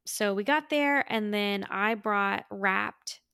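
A woman's voice: medium pitch, slow pace, vocal fry, pushed and bright, clean tone, no room sound, studio quality. A woman podcast host in a casual, chatty catch-up, talking in a gushing, affectionate way.

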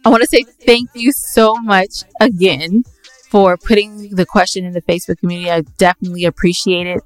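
A woman's voice: medium pitch, fairly even intonation, fairly quick, dry recording, soft background music, poor audio. Emphatic woman podcast co-host, close dry sound. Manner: emphatic, opinionated, interjecting. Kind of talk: casual podcast debate with co-host.

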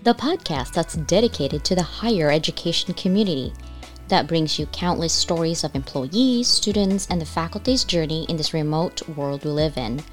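A woman's voice: low-pitched, normal speed, faint music behind. Enthusiastic woman podcast host. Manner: enthusiastic, scripted opening then casual questions. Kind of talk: podcast intro and interviewing.

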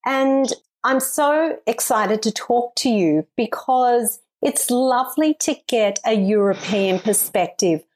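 A woman's voice: fairly high pitch, slow pace, few pauses, a little mellow. A woman hosting a singing podcast, chatty and a little dramatic, talking while ill with covid. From Australia. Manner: chatty, dramatic, laughs easily. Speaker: podcast host. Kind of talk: casual interview chat.

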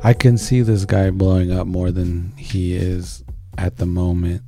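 A man's voice: sits low, flat delivery, unhurried, warm tone. A man co-hosting a music show, chatting informally and enthusiastically in slangy language as he introduces songs. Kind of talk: casual chat introducing songs.

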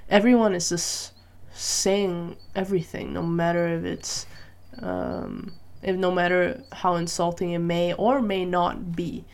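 A woman from Malaysia with a fairly low voice talks through her views in a loose, unscripted monologue. She muses aloud, poses questions to herself and the listener, and pauses on fillers as she looks for the next thought. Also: vocal fry, a little mellow. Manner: musing, thinks aloud with rhetorical questions and frequent "right?" and "you know?". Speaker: vlogger. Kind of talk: casual opinion monologue.

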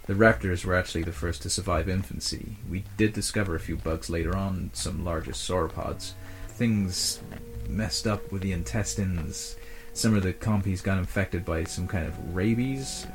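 Man acting as deep-voiced, polite scientist, music behind. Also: rough recording. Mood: earnest, explanatory. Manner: explanatory, measured, polite. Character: earnest male genetic scientist defending his dinosaur work. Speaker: actor in an audio drama. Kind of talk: audio drama dialogue.

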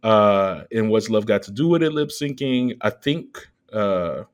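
Lower-voiced man, thinking aloud. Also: expressive, a little slow. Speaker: podcast co-host. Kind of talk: casual film commentary.

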